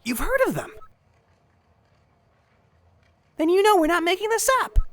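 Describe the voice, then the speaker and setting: A woman's voice: very high voice, very animated, fast, no room sound. A woman voicing an earnest, urgent young adventurer in a fan dub. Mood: pleading, excited.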